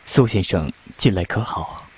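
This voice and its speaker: A man acting a restrained, dignified, quiet young king in an audio drama. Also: sits low, unhurried, a little hiss or hum. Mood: composed, reserved. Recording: music under the voice.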